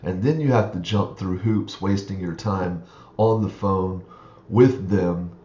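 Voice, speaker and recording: A man's voice: low-pitched, slow pace, mellow, reverberant, highs cut off. A man with a froggy, groggy morning voice, rambling through a casual screen-share walkthrough with many fillers. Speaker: online reseller vlogger.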